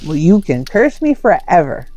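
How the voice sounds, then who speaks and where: A woman voicing a humble, stubborn young male beekeeper in a folktale, with a plain, measured, earnest delivery. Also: fairly low pitch, sing-song, dark tone. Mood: earnest, steady. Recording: roomy echo under faint music.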